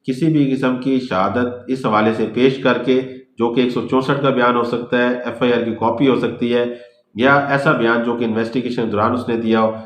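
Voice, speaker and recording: A man's voice: medium pitch, steady tone, normal speed. A man with a Pakistani accent delivering a formal, scripted lecture in the manner of a law teacher. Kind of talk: scripted legal lecture mixing English and Urdu. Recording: roomy, echoing voice recording.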